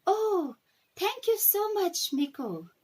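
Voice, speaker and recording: A woman's voice: very high-pitched, sing-song, slow pace, darkish tone, pitched above the performer's own voice, full character voice. A woman reading a storybook aloud, playing a pleading little village girl. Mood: grateful.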